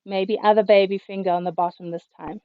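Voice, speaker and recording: A woman yoga teacher, a little hoarse, calmly cueing breath and movements through a class over a video call. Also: medium pitch, brisk, no echo. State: slightly hoarse. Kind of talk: guided yoga instruction. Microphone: computer mic on a video call. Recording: dull, lo-fi video call audio.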